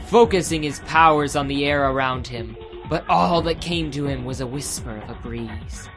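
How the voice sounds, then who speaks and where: A man's voice: mid-range voice, normal speed, a bit bright. Male audiobook narrator over prominent music. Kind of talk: scripted dramatic audiobook narration.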